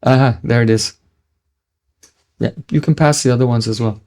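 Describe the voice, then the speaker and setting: A man's voice: medium pitch, steady tone, moderate pace, darkish tone. A middle-aged man from New Zealand giving a devotional lecture, teaching earnestly with frequent restarts and chanted Sanskrit verses. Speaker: spiritual teacher.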